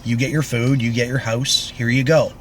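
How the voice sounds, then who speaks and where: A man's voice: medium pitch, fairly even intonation, normal speed, low-quality recording. A young man co-hosting a podcast in dry, laconic, casual and sweary chat. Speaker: podcast co-host. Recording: background noise.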